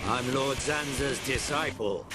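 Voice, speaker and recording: An actor with a British accent playing a mocking, treacherous villain who sneers and taunts in a video game. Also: male voice, medium pitch, unhurried, lightly acted. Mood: smug. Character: gruff, mocking male traitor, disciple of a god. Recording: loud music over the dialogue.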